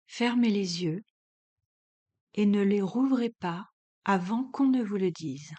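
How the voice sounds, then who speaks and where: Woman, yoga instructor, guided meditation, calm, measured, very slow delivery, long pauses, medium pitch. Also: breathy edge.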